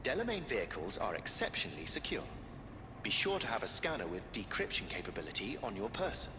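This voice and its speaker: An actor voicing a polite, formal artificial intelligence that runs a company in a video game, speaking in measured, precise wording. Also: male voice, on the low side, big pitch swings, background noise. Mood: polite, businesslike. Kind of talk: scripted video game dialogue. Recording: long hall reverb, loud music behind.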